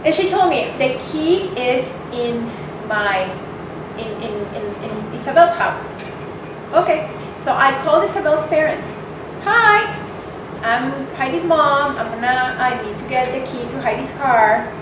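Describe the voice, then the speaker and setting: A woman's voice: high-pitched, unhurried. Woman speaker from Mexico, echoey distant hall. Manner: candid, personal, animated retelling. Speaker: guest speaker. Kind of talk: storytelling talk to an audience. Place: event hall with audience. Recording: echoey hall, mic from far off, noisy.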